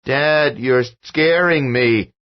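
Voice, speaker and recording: A man's voice: medium pitch, very slow, pitched above the performer's own voice. A man voicing a parasite spirit that pretends to be a small boy, pleading in a whiny, childlike way. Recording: dull lo-fi audio.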